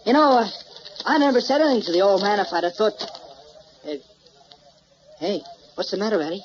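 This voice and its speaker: An actor playing a nervous, apologetic newspaper colleague, halting and eager to please, in a radio drama. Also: male voice, sits high, lively intonation. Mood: curious, concerned. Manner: hurried, halting, anxious to please. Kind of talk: old-time radio drama acting. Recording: dull, hissy old radio recording.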